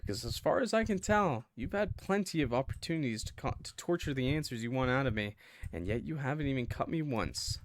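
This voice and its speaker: A man's voice: medium pitch, expressive, a little fast, no echo, close to an everyday voice. A man playing a defiant captive in a visual novel, taunting an attacker with sarcasm.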